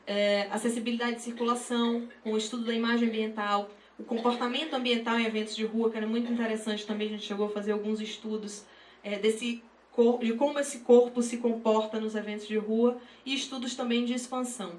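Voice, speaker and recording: A Brazilian woman giving an unscripted academic presentation, halting and repeating words as she goes. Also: a little high, little pitch movement. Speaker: researcher presenting. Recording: echoey room, distant mic, faint noise.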